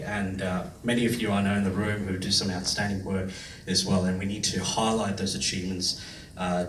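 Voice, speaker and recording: A somewhat low-voiced man speaking earnestly at a panel discussion, measured and full of ums. He is heard from a distance in an echoey room with faint hiss. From India. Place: large function room.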